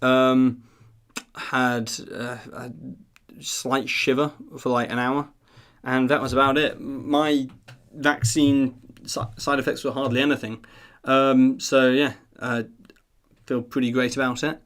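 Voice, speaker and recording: A man's voice: mid-range voice, little pitch movement, slow, fairly bright tone. A man hosting a live stream, chatting casually in an earnest, rambling, self-deprecating way. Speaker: live stream host of a theme park news channel.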